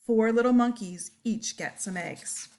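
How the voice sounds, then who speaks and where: A woman storyteller reading a picture book aloud to young children, expressive and deliberate. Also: on the high side.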